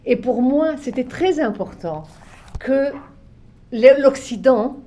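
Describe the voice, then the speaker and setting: An older woman from Egypt, raised on Arabic and French alike, talks about her life as a translator. Her voice is dark and mellow, and she muses, circles back and repeats herself as the memories come. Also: high voice, lively intonation, takes it slowly, slight background noise. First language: Arabic and French, both native. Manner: reflective, many restarts and soft trailing phrases. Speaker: literary translator. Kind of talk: relaxed spoken recollection.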